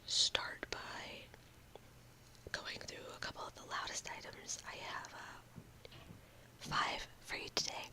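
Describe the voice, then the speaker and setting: A young woman in her room, whispering slowly and softly into a close mic in a casual ASMR ramble, her voice a little odd after a cold. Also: rough recording. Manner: slow, halting, talks with fillers and small laughs. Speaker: ASMR video creator.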